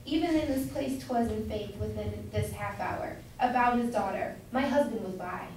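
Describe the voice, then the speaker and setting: A woman's voice: medium pitch, moderate pace, a little mellow, natural voice. Woman playing a meddling wife, distant and echoey. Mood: fond, meddling. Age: young adult. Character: meddling, fond citizen's wife, chatty busybody. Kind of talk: amateur staged play reading. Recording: echoey hall from far off, noisy.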